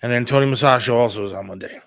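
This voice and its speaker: A man's voice: mid-range voice, rapid-fire, fairly bright tone, no echo, clean studio sound. A man hosting a talk show, chatting casually in an informal, plain-spoken, conversational way. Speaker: radio producer and talk show host. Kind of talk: casual chat about an upcoming radio show.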